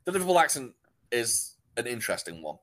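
A man's voice: mid-range voice, big pitch swings, fast talker, fairly bright tone. A young man from North Wales with a North Welsh accent, rambling and laughing often as a podcast co-host. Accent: North Welsh, closer to English. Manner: laughs a lot, rambling with restarts. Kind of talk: casual podcast chat.

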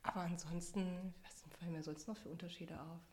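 Woman from southwest Germany, slightly low voice, informal interview guest, easily amused. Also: little pitch movement, darkish tone. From Saarland, southwest Germany. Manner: informal, quick to laugh. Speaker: interview guest, bookshop owner. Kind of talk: casual conversational interview.

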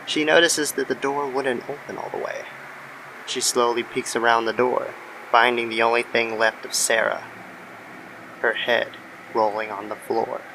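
A man narrating a scripted horror story in a measured, somber manner. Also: mid-range voice, level tone, bright, forceful tone, slight background noise, rough recording. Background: music.

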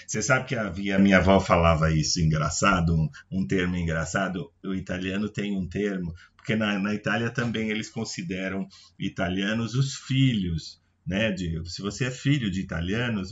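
A man's voice: low voice, moderate pace. A man hosting a radio interview, chatty and given to easy laughter. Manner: chatty, warm-toned banter with frequent laughs. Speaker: radio show host. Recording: muffled radio recording with faint music.

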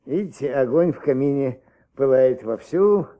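A man playing a crotchety old retired judge, halting and condescending, in a Soviet radio drama. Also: medium pitch, expressive, close to an everyday voice. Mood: bossy, hospitable. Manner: halting, muttering, chuckling, condescending. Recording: dull old radio recording.

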